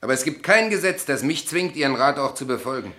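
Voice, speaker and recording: A man's voice: medium pitch, lively intonation, fast, pushed and bright. An actor playing a naive, stubborn, plain-spoken male heir in a German radio play, with a blunt, exclamatory manner. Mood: bluntly defiant.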